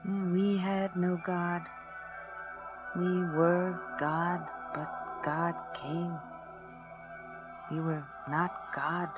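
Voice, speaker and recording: A woman's voice: fairly low pitch, little pitch movement, drawn-out words, pauses often, music in the background. A woman playing a tough, blunt, sardonic space detective in a radio play, both narrating and speaking in dialogue with a dry delivery. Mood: haunted, wistful.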